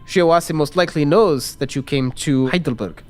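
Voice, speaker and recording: Man as a high-pitched, brusque underworld fixer. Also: close to an everyday voice. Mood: stern, cautious. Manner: probing, commanding, halting. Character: brusque, cautious male underworld fixer shopkeeper. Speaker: game master. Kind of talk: improvised tabletop roleplay.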